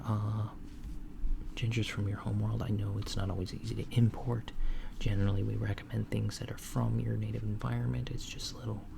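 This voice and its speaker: A man playing a gravelly, soft-spoken alien doctor. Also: lowish voice. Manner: soft-spoken, unhurried, slipping into whispers; hesitant, with frequent ums. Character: calm, attentive male alien doctor. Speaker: ASMR roleplay creator. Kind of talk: softspoken ASMR roleplay. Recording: lo-fi with steady background hiss.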